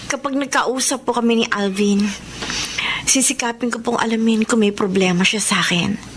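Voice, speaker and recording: A woman's voice: fairly high pitch, moderate pace, a bit bright, a little hiss or hum, natural voice. An actress playing a loyal, lovelorn young woman in a Filipino radio drama. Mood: subdued, respectful. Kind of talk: Filipino radio drama acting, letter narration. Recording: music bed behind the voice. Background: music.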